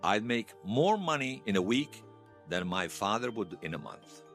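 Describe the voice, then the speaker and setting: A man's voice: on the low side, very animated, normal speed, a bit bright, faint music behind. An actor playing a wry, folksy Bosnian man who tells his past in an audio drama interview. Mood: wry, explanatory. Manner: wry storytelling with colourful folk sayings. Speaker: storyteller being interviewed.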